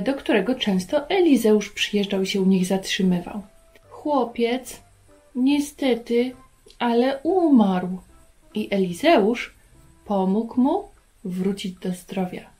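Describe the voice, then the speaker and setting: A woman in her thirties hosting a children's lesson, talking to young viewers in an animated way and asking them questions. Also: medium pitch. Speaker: children's Bible lesson host. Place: carpeted room at home.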